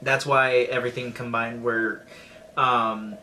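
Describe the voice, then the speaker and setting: Guy reviewing a film real slow and halting, sharp voice, slight noise. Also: mid-range voice, little pitch movement, low-quality recording. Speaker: film festival audience member. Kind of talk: casual spoken film review. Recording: faint background noise.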